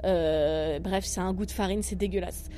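A woman's voice: lowish voice, fairly even intonation, moderate pace, mellow, soft background music. A woman with a Parisian accent, a comedian hosting her podcast in a crude, self-mocking, rambling monologue. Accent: Parisian French. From France. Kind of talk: comic monologue.

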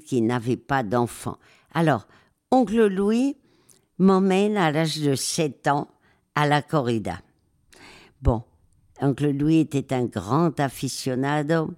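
An older woman, a war photographer, answering interview questions slowly, with many pauses and hesitations. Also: rumbling low, expressive, darkish tone. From raised in Spain.

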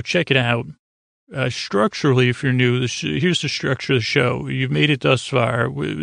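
Male podcast host, creaky and gravelly, mid-pitched, stammering through meandering bedtime rambles, recorded close and dry. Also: fairly bright tone, no room sound. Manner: meandering, stammering, keeps derailing himself.

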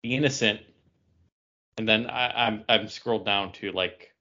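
Dude on a podcast, lowish voice, casual, bit muffled sounding. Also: male voice, steady tone, a little slow, pushed and bright. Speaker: podcast co-host. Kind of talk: casual chat, reading out figures.

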